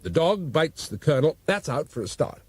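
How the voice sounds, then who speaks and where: A man voicing a loud, exasperated, foul-mouthed police commissioner. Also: fairly high pitch, sing-song, a little fast, breathy edge, no room sound.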